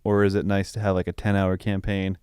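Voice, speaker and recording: A man co-hosting a podcast, chatting casually and thinking aloud in loose, halting sentences. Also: deep voice, monotone, a little mellow, clean tone, no room sound, clean studio sound. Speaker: podcast co-host. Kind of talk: casual chat, film review.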